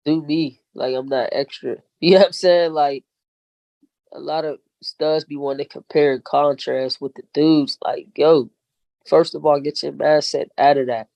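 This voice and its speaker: A woman's voice: low-pitched, fairly even intonation, moderate pace, gravelly, dark tone, no room sound, low-quality recording. A woman rapper talking as a guest in a casual podcast interview, laid-back and slangy, often saying "you know what I'm saying".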